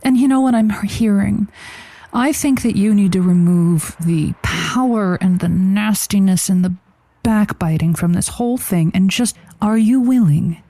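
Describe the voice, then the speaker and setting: A woman's voice: medium pitch, a little slow, mellow, dry recording. A woman playing an unflappable but easily flustered radio advice host, with a dry, hesitant delivery on a call-in comedy drama. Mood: businesslike.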